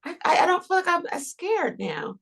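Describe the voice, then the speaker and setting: A young woman on a video call repeating phrases after her guide, soft and a little hesitant. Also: extremely high pitch, very animated, normal speed, vocal fry. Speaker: session client. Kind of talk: repeating phrases in a therapy session. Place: home room.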